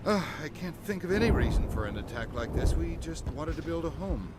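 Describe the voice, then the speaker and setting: A man's voice: on the high side, sing-song, fairly quick. An actor playing a strained, evasive colony medic in a video game, placating and hesitant as he keeps up forced optimism. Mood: evasive, hopeful. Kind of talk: video game dialogue acting. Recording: game audio with music behind.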